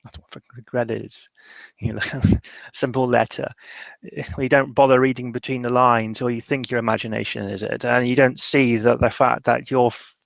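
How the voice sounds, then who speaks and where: A man playing a tormented, bitter mage in an improvised tabletop roleplay, speaking haltingly and emotionally, full of regret. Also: medium pitch, creaky, dry recording, close to an everyday voice. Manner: halting, emotional, swearing, trailing off mid-sentence.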